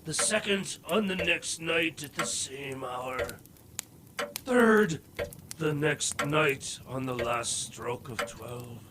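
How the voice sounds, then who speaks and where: A man playing the anguished ghost of a dead business partner in a theatrical audio drama, with wailing, drawn-out delivery. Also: fairly high pitch, animated, noisy background. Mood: grave warning.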